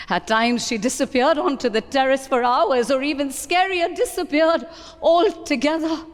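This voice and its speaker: A woman with an Indian accent giving a deliberate, expressive dramatic reading of a memoir in a hall. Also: on the high side. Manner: deliberate, expressive storytelling delivery. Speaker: reader at a book event. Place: live reading in an event hall. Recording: reverberant hall.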